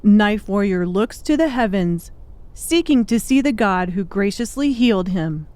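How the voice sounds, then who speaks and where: A woman's voice: mid-range voice, animated, measured pace, warm tone, no room sound. A woman reading scripted, dramatic audiobook narration.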